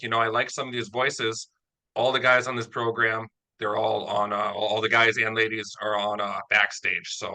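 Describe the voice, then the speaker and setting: A man's voice: medium pitch, pushed and bright, low-quality recording. A man interviewing a guest in a casual, informal chat, as host and creator of an audio drama.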